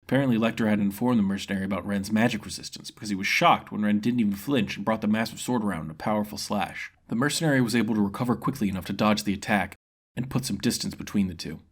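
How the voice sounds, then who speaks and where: A man's voice: on the low side, quick pace. A man reading scripted fantasy narration as a storyteller.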